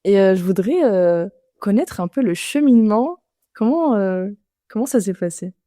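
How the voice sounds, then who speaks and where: Mellow woman podcast interviewer. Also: medium pitch, animated, normal speed, no room sound, clean studio sound. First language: Arabic. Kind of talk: casual conversational interviewing.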